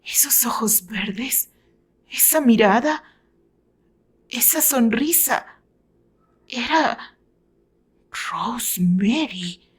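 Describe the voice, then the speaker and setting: A courteous gentleman as a woman storyteller plays him in a romantic audio tale. He speaks formally and haltingly, with a wistful air. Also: on the high side, lively intonation, breathy edge, heavily acted. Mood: awestruck.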